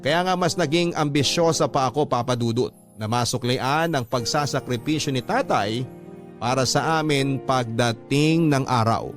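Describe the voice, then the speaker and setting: Man narrating over clear background music. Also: medium pitch, moderate pace. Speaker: radio host reading a listener's letter. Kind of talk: dramatic scripted narration of a life story. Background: music under the voice.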